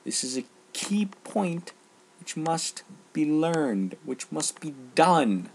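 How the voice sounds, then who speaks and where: A young man giving an earnest, exasperated, unscripted rant, speaking emphatically with halting pauses in a husky, gravelly voice. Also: fairly high pitch, big pitch swings, a little mellow, slight background noise, low-quality recording. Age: teens to young adult. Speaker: online ranter.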